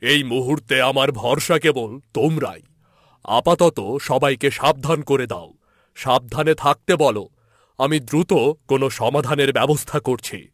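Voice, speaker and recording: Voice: medium pitch, unhurried, no room sound, studio quality, natural voice. A man playing a worried, doting king and father in a fairy-tale cartoon. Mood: worried, commanding.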